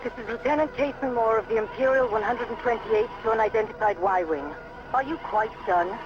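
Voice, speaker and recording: Casting: male actor as sci-fi game defector officer, higher register, proud and formal, dry delivery, lo-fi sound. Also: brisk, natural voice. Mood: indignant. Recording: dull lo-fi game audio, music behind.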